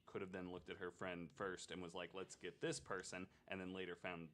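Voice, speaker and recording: Deep-voiced man in casual podcast chat. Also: flat delivery, moderate pace, fairly bright tone. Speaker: podcast panelist. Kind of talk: casual chat, speculating aloud.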